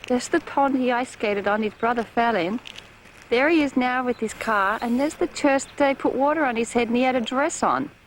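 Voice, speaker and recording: A woman's voice: on the high side, normal speed, background noise. A woman giving casual tour commentary while she films a home video herself. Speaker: person filming home video.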